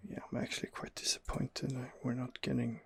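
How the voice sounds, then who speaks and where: A man narrates his game in an ASMR style, close to a whisper. His voice is heavily creaky and very husky. He muses to himself without hurry, often hesitating between phrases. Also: mid-range voice, little pitch movement, no echo. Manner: hesitant, unhurried musing to himself. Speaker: video game player narrating his playthrough. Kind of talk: ASMR game commentary, murmured in-character musing.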